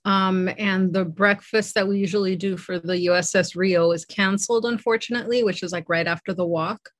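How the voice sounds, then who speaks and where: A woman with a mid-pitched voice talks casually on an online group call. She pauses often as she speaks, and the sound is dry with little room around it. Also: fairly even intonation, no room sound. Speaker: fan event organiser.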